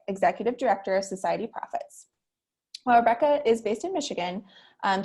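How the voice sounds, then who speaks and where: A woman hosts an interview in a conversational way. Her voice sits at an average pitch, and she introduces and questions as an event interviewer would. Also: a little mellow. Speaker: interviewer, editor.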